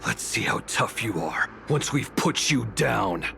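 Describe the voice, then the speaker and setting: A stern contemptuous soldier. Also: male voice, lowish voice, expressive, creaky, very noisy, exaggerated voice. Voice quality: stern and contemptuous, measured delivery.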